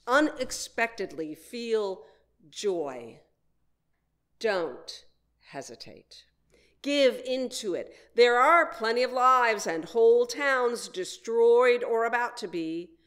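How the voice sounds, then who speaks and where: Slow-paced woman preacher with expressive pitch. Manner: measured, deliberate phrasing with frequent pauses. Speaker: minister giving a sermon. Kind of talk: sermon, prepared message.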